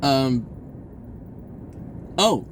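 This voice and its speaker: A man's voice: medium pitch, very slow. Rambling, stammering man over faint background noise. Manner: blurting, self-interrupting, stammering over phrases; excitable, re-enacts conversations in quoted speech. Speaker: personal storyteller. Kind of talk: casual rambling vlog monologue.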